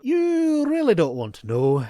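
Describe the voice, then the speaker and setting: Man voicing a stubborn, high-voiced prospector. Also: wildly swooping pitch, unhurried, warm tone, slight background noise, exaggerated voice. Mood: gruff, bossy. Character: gruff, stubborn, hot-tempered male gold prospector. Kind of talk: fan comic dub acting.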